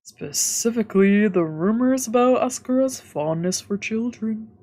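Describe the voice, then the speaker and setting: A woman's voice: fairly high pitch, expressive, moderate pace, warm tone. A woman streamer reading visual novel narration aloud, with casual asides. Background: faint music.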